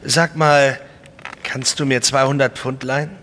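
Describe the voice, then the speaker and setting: In a long reverb with faint noise, a man plays a reckless young gambler son in an audio drama. His voice is bright and sharp, and he presses his point insistently. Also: mid-range voice, level tone, a little slow, lightly acted. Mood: casual, probing. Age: young adult. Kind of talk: German audio drama acting. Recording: reverberant hall, noisy ambience behind.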